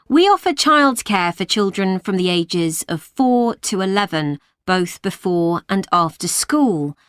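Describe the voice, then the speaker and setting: Woman childcare manager talking to parents, smooth voice, dry sound. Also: medium pitch, fairly bright tone, no room sound. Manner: polite, clear, informative. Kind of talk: scripted talk to parents. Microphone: studio microphone, dry.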